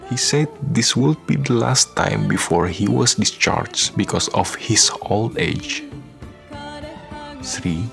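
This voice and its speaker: Deep, gravelly, slow male narrator. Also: a bit bright. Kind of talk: scripted radio drama narration. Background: music.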